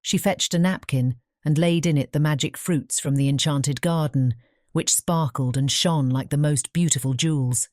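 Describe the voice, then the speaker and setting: A woman narrating a bedtime fairy tale as a measured, careful scripted storybook reading. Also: low voice, darkish tone, no room sound, studio quality.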